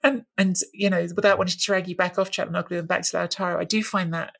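A woman's voice: lowish voice, steady tone, fast, creaky, fairly bright tone. Thoughtful woman analysing football tactics. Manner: thoughtful, analytical, hunting for words. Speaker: football podcast co-host. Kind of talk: casual football analysis chat.

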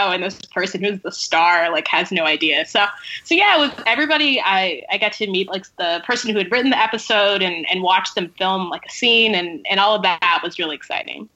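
A woman's voice: medium pitch, sharp tone, dull audio. A woman speaking as a writer guest in a casual podcast interview, conversational and peppered with "like" and "sort of". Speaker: guest novelist and screenwriter.

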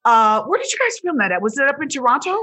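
A woman hosting an interview, chatty and quick to laugh. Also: high voice, big pitch swings, bright, forceful tone, breathy edge. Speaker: interviewer host. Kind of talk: casual interview.

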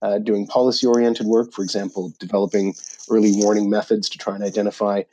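A middle-aged man from Canada being interviewed on a podcast, speaking in a measured way with many pauses and fillers. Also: fairly low pitch, flat delivery, mellow, slight background noise, low-quality recording. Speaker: guest, author and UN official. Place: office in Jerusalem.

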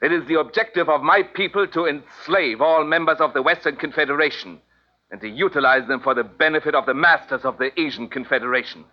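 A man acting a defiant captive soldier in a science fiction radio play. He recites stiffly and formally in a fairly high male voice, over a muffled vintage broadcast. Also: fairly quick, natural voice. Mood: stiff, hateful. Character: defiant enemy prisoner reciting hateful wartime propaganda. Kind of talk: 1950s radio drama acting.

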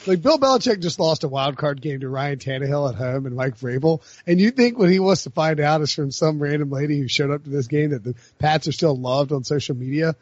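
A man's voice: fairly high pitch, lively intonation, a little fast, few pauses, a little mellow, no echo. A man hosting a casual sports talk podcast, rambling with lots of filler words. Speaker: podcast host. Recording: dry lo-fi podcast mic.

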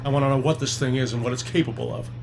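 An actor playing a determined, authoritative American submarine captain in an audio drama. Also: male voice, medium pitch, steady tone, racing, vocal fry, background noise, close to an everyday voice. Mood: determined, commanding. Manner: authoritative, demanding. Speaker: submarine commander.

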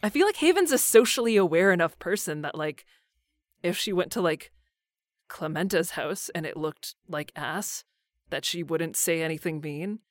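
A woman's voice: on the low side, animated, moderate pace, no room sound. A woman voice actor chatting casually as an interview guest, with informal, loosely built phrasing. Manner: informal, hesitant phrasing full of "like". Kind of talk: casual cast interview chat.

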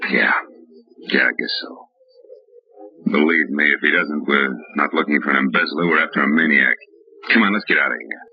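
A hard-boiled radio detective, wry and slangy. His voice sits very low, and the old broadcast sounds muffled, with music clearly behind him. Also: normal speed, long pauses. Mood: soothing, blunt. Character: tough, wisecracking male private detective narrator. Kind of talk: 1940s radio detective acting.

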